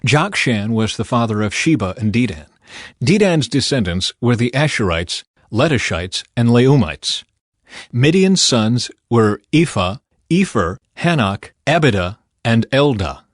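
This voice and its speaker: A man reading scripture as a scripted audiobook narration, with measured, dramatized storytelling delivery. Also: medium pitch, sing-song, no room sound, clean studio sound. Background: background music.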